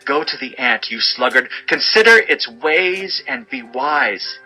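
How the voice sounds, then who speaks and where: Forceful, husky man reading scripture. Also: medium pitch, expressive, unhurried, faint music behind, rough recording. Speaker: narrator.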